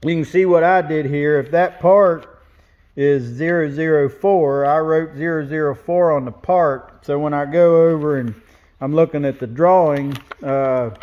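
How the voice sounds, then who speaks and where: Guy in a big metal shop talking thru a frame job, unhurried, kinda muffled camera audio. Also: on the high side, fairly even intonation. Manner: plain-spoken, explains as he points things out. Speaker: welder and fabricator. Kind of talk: casual shop walkthrough. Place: large indoor metal fabrication shop.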